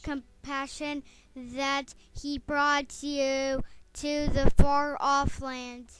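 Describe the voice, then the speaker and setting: A woman voicing a gentle magic frog in a storybook, reading very slowly and haltingly. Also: high voice, level tone, exaggerated voice, low-quality recording. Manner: very slow, halting reading with restarts.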